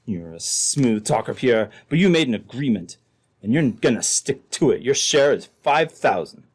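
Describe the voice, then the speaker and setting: A man's voice: mid-range voice, big pitch swings, moderate pace, husky. A man playing a crooked, hard-bitten trading company man in an old-time radio drama, blunt and insistent. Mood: defiant, threatening.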